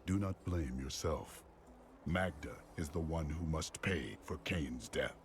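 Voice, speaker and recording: An actor playing a stern, honorable warrior hero in a video game, formal, earnest and forceful. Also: male voice, a bass voice, slow. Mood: steady, resolute. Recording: under very loud game music.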